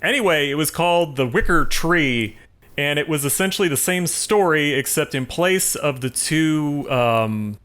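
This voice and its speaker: A man's voice: a little high, expressive, unhurried, a bit bright. A man co-hosting a casual film discussion, explaining details and correcting himself as he goes. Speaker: podcast co-host.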